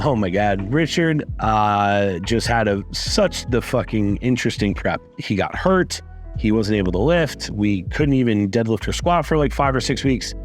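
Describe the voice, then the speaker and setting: A man with a low, raspy voice recaps his athletes' results in a loose, upbeat vlog. He swears freely and leans on fillers, and soft music sits faintly behind him. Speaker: strength coach.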